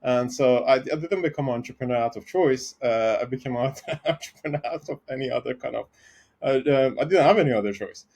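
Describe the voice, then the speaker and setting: A man from Iran, a tech founder, talking through his story in a podcast interview, pausing often with ums and restarts. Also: medium pitch, a little mellow. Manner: hesitant, many ums and restarts.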